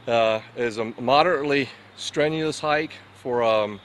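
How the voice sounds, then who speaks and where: A man in his fifties from Florida with an American accent, talking casually and haltingly to camera, tired after a hike. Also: mid-range voice, fairly bright tone. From Florida, United States. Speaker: outdoor vlogger. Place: outdoors at a forest trailhead. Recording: outdoor camera audio with ambient noise.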